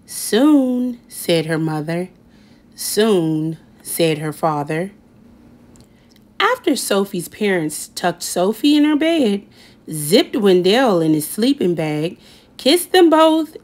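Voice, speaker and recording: A woman narrating a picture book for children as a storyteller, with expressive, dramatic emphasis on key words. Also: medium pitch. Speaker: children's read-aloud storyteller.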